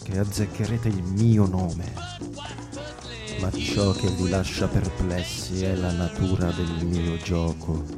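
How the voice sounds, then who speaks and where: Man playing a deep, slow, sinister Devil. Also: level tone. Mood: sly, courteous. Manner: slow, courteous, menacing monologue. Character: suave, sinister male Devil boasting of his crimes. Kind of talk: dramatic recitation of translated song lyrics over music. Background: music.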